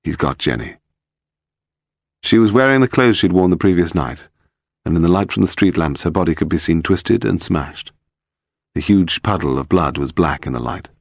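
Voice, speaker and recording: A man's voice: sits low, normal speed, creaky, no echo, studio quality. A man reading scripted narration of a crime story as an audiobook narrator.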